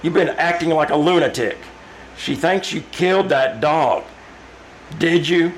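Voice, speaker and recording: An actor playing a nervous, defensive man cornered by a rival, halting and agitated in old-time radio style. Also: a little high, slight background noise, close to an everyday voice, low-quality recording. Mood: uneasy, evasive.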